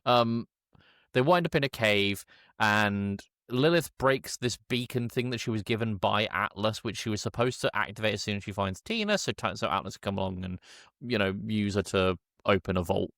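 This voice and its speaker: A man with a fairly low voice co-hosts a film podcast. He recaps in a casual, comedic style, often hesitating and slipping into mock quotes. The recording is dry, with very little room sound. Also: a bit bright, dry recording. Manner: halting, hesitant storytelling with mock-quoted dialogue. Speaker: podcast co-host.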